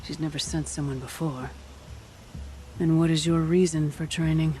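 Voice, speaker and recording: An actress playing a seasoned older huntress who trains hunters, wry and blunt, in video game dialogue. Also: female voice, low-pitched, steady tone, normal speed, mellow, a little hiss or hum, natural voice. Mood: wry, proud. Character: seasoned old huntress, wry trial keeper.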